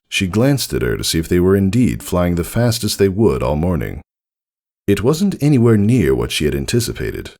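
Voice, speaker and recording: A man's voice: low voice, darkish tone. A man narrating a scripted story in the style of an audiobook reading.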